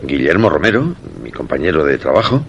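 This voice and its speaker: An actor with a Castilian Spanish accent and a gravelly voice, playing a quiet, curious husband in a suspense radio drama. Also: male voice, rumbling low, big pitch swings, fairly quick, dull audio, slight background noise, full character voice. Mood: guarded, probing.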